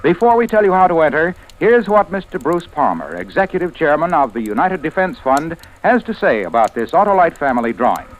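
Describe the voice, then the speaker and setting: A male pitchman from old-time radio with a fairly high, very lively voice. He sells emphatically in scripted announcer style on a vintage broadcast recording. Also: brisk, few pauses, low-quality recording. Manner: emphatic salesman.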